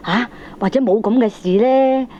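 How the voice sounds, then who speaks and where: A woman's voice: fairly high pitch, moderate pace, crowd noise, lightly acted. A woman playing an anxious, sceptical middle-aged wife with a questioning manner in a Hong Kong Cantonese comic radio drama. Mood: anxious, scolding. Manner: questioning, exclamatory. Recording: dull lo-fi cassette recording.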